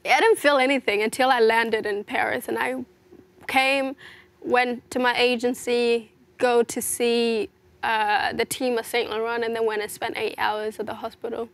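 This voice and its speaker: A young woman from South Sudan talks through her story in a relaxed interview, earnest and reflective. Her voice sits a little high and carries a lot of gravelly fry, and she fills her thoughts with "you know" as she goes. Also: normal speed. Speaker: interview guest, fashion model. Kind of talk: casual personal interview.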